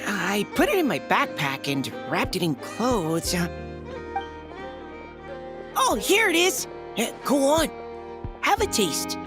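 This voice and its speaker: A woman's voice: a little high, wildly swooping pitch, slow, pauses often, music in the background, exaggerated voice. A woman voicing an earnest, slightly flustered young knight courier in a video game, polite and hesitant. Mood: flustered, eager. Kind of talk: anime-style video game voice acting.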